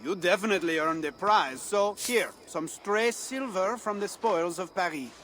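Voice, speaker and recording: A man's voice: sits high, moderate pace, fairly bright tone. An actor playing a playful, pleasure-loving Frankish man in a video game, with a teasing, suave and theatrical manner. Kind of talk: dramatic video game cutscene acting. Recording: music under the voice.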